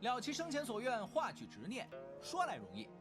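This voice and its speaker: A playful, clever teenage boy speaks boldly in an animated drama. His pitch is high and lively, with music behind him. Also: fairly quick, exaggerated voice. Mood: cocky, challenging. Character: cocky, clever, playful teenage male disciple.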